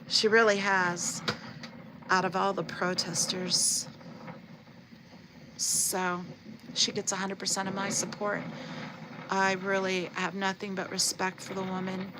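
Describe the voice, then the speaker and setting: Woman streamer, sore throat, chatty, mid-pitched, noisy background. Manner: talkative, reads chat comments aloud. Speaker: livestream host. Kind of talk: casual livestream chat.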